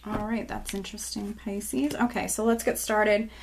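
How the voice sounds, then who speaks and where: A woman speaks to her viewers as she lays out and reads tarot cards. Her voice is slightly hoarse and worn. Her delivery is loose and chatty, full of little fillers and repeated words. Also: mid-range voice, fairly even intonation, normal speed, darkish tone. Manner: chatty, many fillers and restarts, intuitive readings. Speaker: tarot reader. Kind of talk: casual tarot forecast video.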